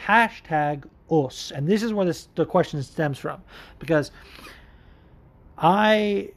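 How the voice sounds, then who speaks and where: A man hosts a casual podcast, talking loosely and bluntly with plenty of swearing and filler words. His voice sits a touch higher than most men's. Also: slow. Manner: candid, profane, rambling with fillers. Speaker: podcast host. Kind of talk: casual MMA commentary, answering listener questions.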